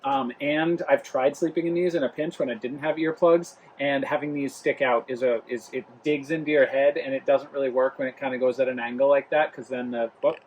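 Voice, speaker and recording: A young man hosts a casual review vlog from home and rambles through his thoughts with plenty of ums and restarts. His voice sits at a middle pitch, with a light hiss of room noise behind it. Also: fairly even intonation, fairly quick, few pauses, a little mellow, low-quality recording. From Rhode Island. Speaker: travel vlog host. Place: home, living room near the kitchen.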